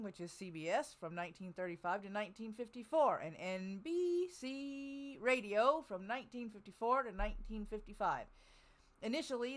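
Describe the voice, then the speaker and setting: A woman hosting a show, reading out a scripted introduction with informal asides to the people listening along. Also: mid-range voice. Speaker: host. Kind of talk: casual scripted introduction read aloud to co-panelists.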